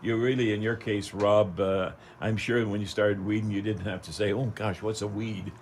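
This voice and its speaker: A man's voice: lowish voice, level tone, normal speed, slight background noise. An older man with a Canadian accent hosting a talk radio show in a folksy, rambling, casual way, often ending with "eh".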